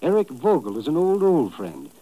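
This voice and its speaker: A man's voice: a little high, wildly swooping pitch, normal speed, mellow, rough recording. An actor playing a worried, polite immigrant businessman in a 1950s radio drama, speaking formally with hesitant stammers. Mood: anxious, hesitant. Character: anxious, polite immigrant businessman, victim of extortion.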